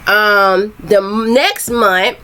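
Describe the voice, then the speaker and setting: A bright, clear-voiced middle-aged woman talks casually to her viewers. She rambles in a loose, friendly way, full of ums and fresh starts. She sounds like a self-esteem coach going live. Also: mid-range voice, extremely slow. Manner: loose and chatty, many ums and restarts. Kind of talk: casual live video chat.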